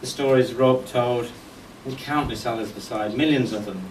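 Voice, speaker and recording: A middle-aged man giving a eulogy as a speech of humorous anecdotes, told in a wry, unhurried way. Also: medium pitch. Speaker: speaker giving a memorial tribute. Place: indoor function venue at a golf club, before an audience. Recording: echoey room, distant mic, faint noise.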